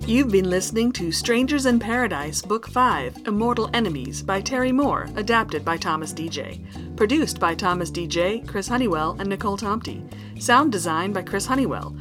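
A woman's voice: mid-range voice, lively intonation, moderate pace. A woman announcer reading scripted end credits for an audio drama. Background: plucked guitar music.

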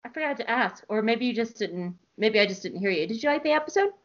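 A woman hosting a casual podcast, gushing and excitable, swearing freely and often laughing. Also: fairly high pitch, fast. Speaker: podcast host. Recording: dull lo-fi sound.

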